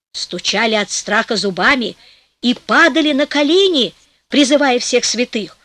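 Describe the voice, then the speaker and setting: A woman with a fairly high voice reads a fairy tale aloud with lively storytelling energy. She is recorded on a close studio microphone, and the sound is dry with no room around it. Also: no echo. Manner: lively storytelling, slows for the rhyme. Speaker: audiobook narrator. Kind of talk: scripted fairy-tale narration.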